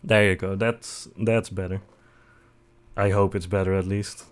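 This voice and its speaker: Young dutch dude streaming, kinda low voice, lots of uhs and ums, joking about himself. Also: male voice, little pitch movement, a little slow, smooth. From Netherlands. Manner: rambling, filler-heavy, self-deprecating humor. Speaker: VTuber streamer. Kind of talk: casual livestream self-introduction.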